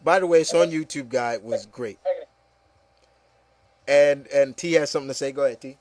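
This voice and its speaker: A man's voice: mid-range voice, moderate pace, darkish tone, dry recording. A man hosting a casual, opinionated discussion, talking emphatically and repeating himself with plenty of "you know". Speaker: host of an opinion show.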